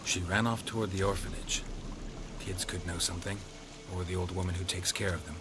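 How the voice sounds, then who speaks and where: A man playing a stoic middle-aged monster hunter in a fantasy video game, deadpan and clipped. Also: low-pitched, a little fast, background noise, lightly acted. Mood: businesslike. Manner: deadpan, clipped, matter-of-fact. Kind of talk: video game character acting.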